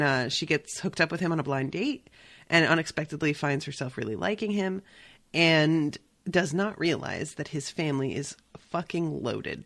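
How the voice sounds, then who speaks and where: A woman hosting a podcast, chatting casually about a book with quick, irreverent asides and the odd swear word. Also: low voice, creaky, no echo. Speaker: podcast host. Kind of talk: casual chat.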